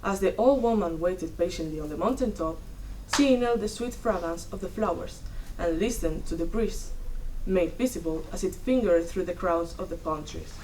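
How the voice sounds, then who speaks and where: A young woman giving a dramatic reading of a story, in measured, deliberate short phrases. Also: on the low side, a little mellow. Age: young adult. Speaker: student performer in a dramatic reading. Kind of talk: dramatic storytelling read from a script. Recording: distant mic, noisy room.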